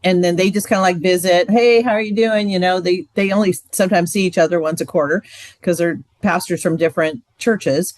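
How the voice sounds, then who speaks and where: A woman's voice: on the low side, steady tone, a little fast, a little mellow, dry recording. Chatty woman with a dry close sound. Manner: casual, chatty, many "like" and "um". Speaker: marriage ministry leader. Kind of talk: training session talk.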